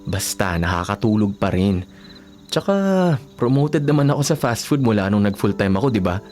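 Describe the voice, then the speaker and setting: A man acting in a radio drama as a devoted, self-sacrificing young boyfriend and son, gentle and reassuring, with a Filipino accent. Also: fairly low pitch, lively intonation, moderate pace, soft background music, lightly acted. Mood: tender, reassuring. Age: young adult.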